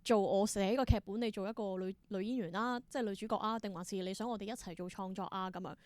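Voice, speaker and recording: A woman's voice: medium pitch, fairly even intonation, fast talker, a little mellow, no echo. A woman with a Hong Kong Cantonese accent speaking as an interview guest, telling stories casually and acting out bits of dialogue. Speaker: interview guest, theatre performer and creator. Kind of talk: casual conversational storytelling.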